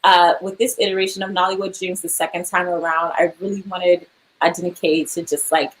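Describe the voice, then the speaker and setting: A woman's voice: fairly low pitch, level tone, fairly quick, a little hiss or hum, low-quality recording. A young woman, an actor, chatting casually in an interview, laughing often and filling her speech with "like" and "um".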